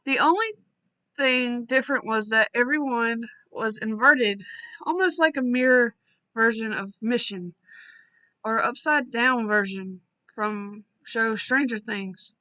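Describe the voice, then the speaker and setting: A woman hosting a storytelling channel, reading listener stories aloud in a casual way and breaking off for quick asides. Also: a little high, pushed and bright, smooth, no room sound.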